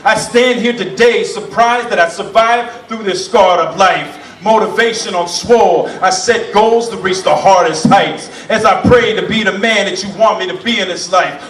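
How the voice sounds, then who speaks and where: A man's voice: sits high, moderate pace, few pauses, a bit bright, echoey room, distant mic, rough recording. A man performing spoken word poetry on stage in a rhythmic, impassioned, rhyming delivery. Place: performance venue. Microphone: stage mic, heard from a distance.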